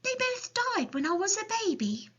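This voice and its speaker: A woman's voice: very high voice, very animated, normal speed, a bit bright, highs cut off, pitched above the performer's own voice, exaggerated voice. A woman voicing a plain-spoken, stoical little orphan girl in a children's storybook. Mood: matter-of-fact, gentle.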